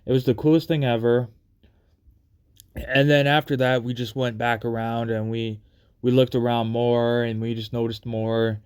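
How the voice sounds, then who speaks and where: A man casually telling personal stories in a rambling, repetitive and halting way. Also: mid-range voice, little pitch movement, a little mellow, smooth. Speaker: storyteller.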